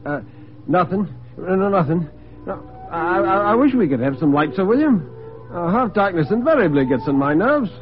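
A man's voice: sits high, animated, normal speed, faint music behind, pitched above the performer's own voice. A man voicing a nervous, stammering man in his late thirties in a dramatic radio story, on a tinny, noisy old recording. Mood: nervous, flustered. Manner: stammering, agitated, protesting.

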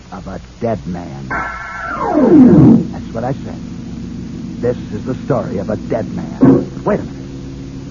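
A man's voice: mid-range voice, very noisy. A man hosting a radio show, narrating strange true stories in a theatrical, emphatic showman manner. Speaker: radio host. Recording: muffled vintage radio with music.

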